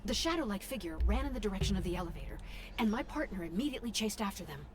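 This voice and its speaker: A woman's voice: mid-range voice, very animated, very fast, a little mellow, slight background noise, natural voice. A woman voicing an earnest, easily shaken young female character in an anime-style game. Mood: urgent.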